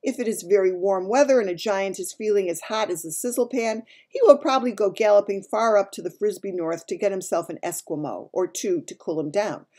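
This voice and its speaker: A woman voicing a gentle, runty male giant from a children's storybook, talking in muddled grammar and made-up words. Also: medium pitch, fairly quick, hardly any pauses, natural voice. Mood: explaining. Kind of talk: dramatised children's storybook reading.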